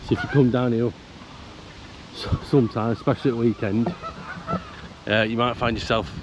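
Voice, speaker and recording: A man narrating a casual walk around a park fishing lake, croaky from illness, chatty and grumbling about the council. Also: mid-range voice, fairly even intonation, moderate pace. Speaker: angler vlogger. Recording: outdoor camera audio with faint background noise.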